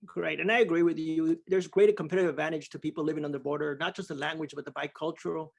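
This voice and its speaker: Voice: medium pitch, fairly even intonation, fast talker, gravelly. An interviewer asking questions on a video call, encouraging, with frequent affirming murmurs.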